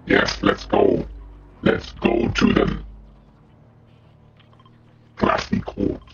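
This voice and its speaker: A man voicing a menacing, gleeful demon with a guttural growl, commentating on a video game in slow theatrical phrases. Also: fairly bright tone. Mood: gloating, playful. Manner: slow, theatrical, drawn-out phrases with evil laughs. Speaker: gamer. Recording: muffled lo-fi audio with clear noise.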